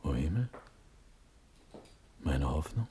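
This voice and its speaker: An actor playing an impetuous, anguished young Prussian prince in a classical verse radio drama, breathy and declamatory. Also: male voice, very deep voice, big pitch swings, slow pace, dark tone, a little hiss or hum, exaggerated voice. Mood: despairing. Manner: impassioned declamation swinging from whispers to outcries.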